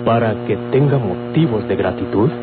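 An actor playing a respectful, cautious young Mexican helper in a golden-age radio drama. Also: male voice, on the low side, normal speed, lightly acted. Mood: probing. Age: young adult. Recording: old narrowband radio recording, loud hum.

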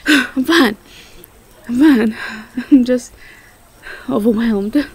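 A woman playing a tender, easily overwhelmed Southern belle girlfriend in a roleplay audio drama, with a Southern US accent and a halting, breathy delivery. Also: fairly high pitch, heavily acted. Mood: overwhelmed, tearful. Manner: soft, halting, repeats words.

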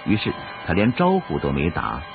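A man's voice: deep voice, huge pitch swings, moderate pace, creaky. A man narrating a historical radio drama in a scripted, dramatic storytelling style. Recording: phone-like radio recording over music.

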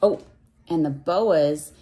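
A woman reading a picture book to young children and explaining it in simple words, with a sing-song, measured cadence. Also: deep voice, warm tone, lo-fi sound. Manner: simple, instructive phrasing aimed at young children. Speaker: read-aloud teacher for young children.

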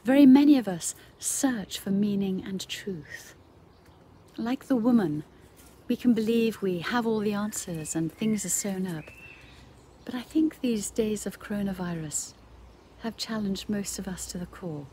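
A middle-aged woman gives an earnest, measured devotional reflection as a bishop, pausing between phrases. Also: mid-range voice, mellow, slight background noise. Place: outdoors in a garden.

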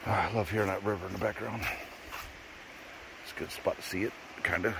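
A man's voice: fairly low pitch, steady tone, brisk, vocal fry, noisy background. Joking man vlogging a forest hike. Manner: joking, encouraging, talks to the camera while hiking. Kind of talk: casual vlog chat. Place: outdoors on a forest trail.

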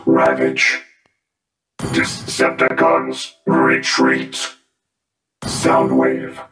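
A man's voice: a bass voice, full character voice. A man voicing a cold, obedient robot warrior for a video game, clipped and emotionless through a vocoder filter. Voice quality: synthetic, droning, processed monotone. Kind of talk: video game character lines. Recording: echoey, loud music underneath.

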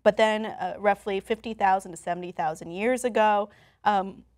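A woman's voice: mid-range voice, fairly even intonation, normal speed, darkish tone. Young woman co-hosting a studio talk show. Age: young adult. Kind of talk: conversational presenting from notes. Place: TV-style studio, indoors.

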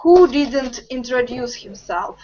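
Slow, high-voiced young woman teacher. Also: expressive, mellow. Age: young adult. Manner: slow, patient, encouraging questions. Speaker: English teacher. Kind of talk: online group language lesson. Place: home room with bookshelves. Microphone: webcam on a video call. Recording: muffled video call audio.

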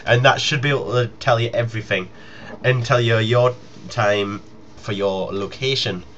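A man's voice: mid-range voice, moderate pace, fairly bright tone. A young man making a casual, rambling announcement to his viewers as a gaming YouTuber. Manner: informal, rambling. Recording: muffled lo-fi home recording with noise.

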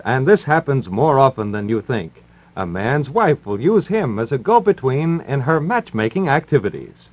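A man hosting and announcing in 1950s radio style, wry and formal, with deadpan delivery. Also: mid-range voice. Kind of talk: 1950s radio patter, mock-serious advice and live ad reads.

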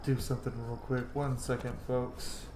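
A man's voice: medium pitch, little pitch movement, normal speed. A man in his thirties giving casual gameplay commentary as a streamer. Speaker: game streamer. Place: small room indoors, webcam view. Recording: echoey room, clear background noise.

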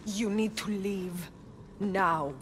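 An actress playing a solemn, fearful ancient oracle priestess, portentous and ceremonial, in a video game cutscene. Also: female voice, medium pitch, very slow, faint music behind. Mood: grave warning.

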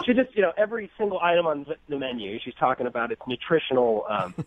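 Animated, higher-pitched exasperated man. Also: fast, phone-quality sound, very noisy. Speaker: phone-in guest recounting a bad date. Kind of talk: casual comic phone anecdote on radio.

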